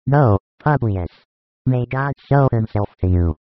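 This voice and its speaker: A man voicing a calm, gentle male teacher in an audiobook, speaking in a measured way. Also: mid-range voice, no room sound, lightly acted. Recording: thin phone-like dry sound.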